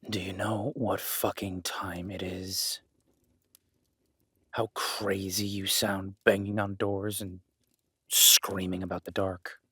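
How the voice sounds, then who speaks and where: A man playing an irritated, suspicious, armed cabin dweller in a horror audio roleplay, speaking in a gruff, hurried, swearing manner. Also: low-pitched, little pitch movement, sharp tone, no room sound, full character voice. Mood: angry, wary.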